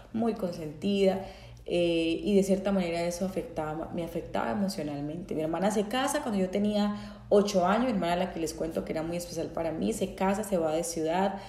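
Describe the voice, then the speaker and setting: Reflective Colombian woman, mellow voice. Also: lowish voice, creaky, echoey room, a little hiss or hum. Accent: Colombian, from Santander. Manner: candid, reflective, many fillers and restarts. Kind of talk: personal testimony talk.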